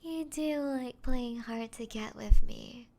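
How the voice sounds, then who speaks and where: Woman acting a slow, high-voiced seductive vampire woman. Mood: teasing, amused. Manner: breathy teasing, often dropping into whispers, soft laughs. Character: seductive, teasing female vampire toying with a hunter. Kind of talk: ASMR roleplay, intimate scripted acting.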